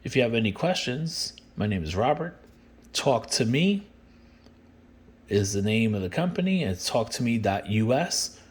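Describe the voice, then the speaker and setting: A man presenting a product in an informal, plain-spoken demonstration and sales pitch, explaining things step by step. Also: big pitch swings, normal speed. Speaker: company representative presenting a product.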